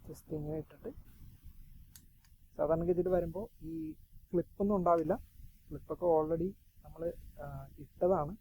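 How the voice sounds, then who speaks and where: Man, slightly high voice, casual product demo, halting delivery, faint background noise. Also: fairly even intonation, dark tone. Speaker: product reviewer. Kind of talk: casual unboxing demonstration. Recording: noisy amateur recording with ambient sound.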